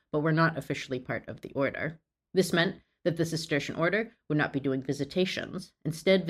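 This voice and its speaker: Woman, low register, earnest history narrator with scripted delivery and apologetic asides. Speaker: history video creator.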